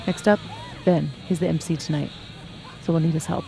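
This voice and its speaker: A woman's voice: low voice, fairly even intonation, fairly quick, vocal fry, music in the background, a crowd in the background. A woman playing a bold, sardonic, vengeful young woman in a video game, speaking with emphasis. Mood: scheming. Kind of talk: naturalistic video game acting.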